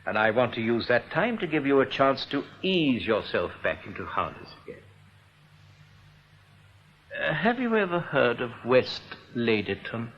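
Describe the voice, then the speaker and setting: An actor playing a genial but evasive English bank executive in a radio play, patronising, persuasive and given to tactful hesitation. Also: male voice, mid-range voice, big pitch swings, a little slow. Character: smooth, evasive, genial male bank executive, senior boss. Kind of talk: British radio play acting. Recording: dull, hissy old radio recording.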